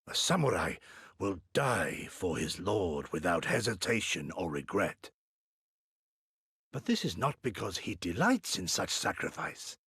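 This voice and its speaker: An actor playing an earnest, proud samurai in a video game, speaking formal archaic lines with dramatic pauses. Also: male voice, deep voice, very animated, a bit bright, breathy edge, dry recording, studio quality, exaggerated voice. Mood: solemn, stirred. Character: earnest, theatrical samurai warrior, proud and inquisitive.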